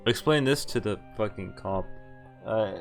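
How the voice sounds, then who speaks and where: A man with a fairly low voice streams a visual novel, reading its text aloud. He drops in casual, profanity-laced comments. Music plays softly behind him. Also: a little slow. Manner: swears freely.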